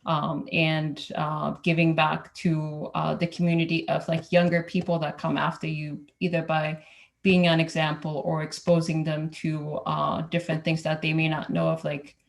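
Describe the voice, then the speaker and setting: A woman's voice: low voice, flat delivery, normal speed, vocal fry. A woman from Ethiopia who works as an engineer, speaking as a roundtable panelist in a hesitant way, with many fillers and restarts. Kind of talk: casual roundtable talk.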